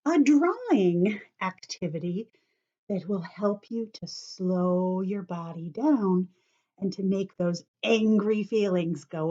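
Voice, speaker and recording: A woman teacher leading young children through a calming drawing activity, speaking gently and encouragingly straight to them. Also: mid-range voice. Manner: gentle, encouraging, talks directly to kids. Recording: dull lo-fi home video sound.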